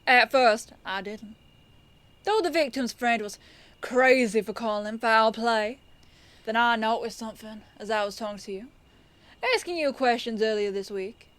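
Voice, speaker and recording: Woman playing a female police lieutenant, slightly high voice, dry close sound, measured, knowing, firm but kind, audio roleplay. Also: a bit bright, no echo, lightly acted. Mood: smug, explaining. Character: composed female police lieutenant detective, firm yet kindly.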